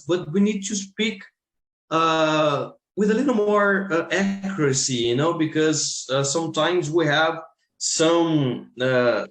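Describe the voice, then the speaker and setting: A man from Brazil with a Brazilian accent and Portuguese as his native language, talking informally as an English teacher in a halting, repetitive way. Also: on the high side, fairly bright tone. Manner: halting, repeats phrases. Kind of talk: informal interview discussion. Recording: roomy, echoing sound.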